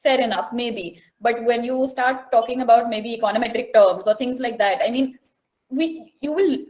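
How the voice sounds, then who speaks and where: A woman's voice: fairly high pitch, normal speed, a little mellow, rough recording. A woman asking a question in a casual podcast conversation, curious and questioning.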